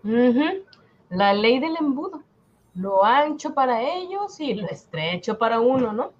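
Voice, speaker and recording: Woman playing a high, swooping sardonic commoner. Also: sing-song, unhurried, darkish tone, highs cut off, full character voice. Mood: wry. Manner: wry, colloquial. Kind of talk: amateur radio drama reading.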